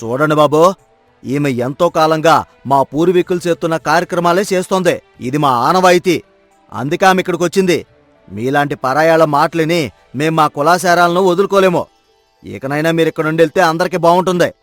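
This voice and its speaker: A man's voice: a little high, brisk, no room sound, soft background music, natural voice. A man voicing an angry village headman, scolding and commanding, in a dramatized story. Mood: stern. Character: stern, angry male village headman guarding tradition.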